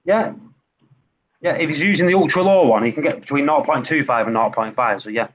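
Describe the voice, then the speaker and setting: A man's voice: a little high, a little fast. A British man talking casually in an online hobby chat. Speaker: community chat participant. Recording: dull lo-fi voice chat, faint noise.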